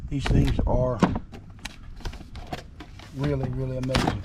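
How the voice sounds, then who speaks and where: Hoarse man, casual and eager show-and-tell, noisy outdoor take. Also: mid-range voice, little pitch movement, slow. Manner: enthusiastic, repetitive, folksy. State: hoarse from illness. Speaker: trucking vlogger. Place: outdoors at a house.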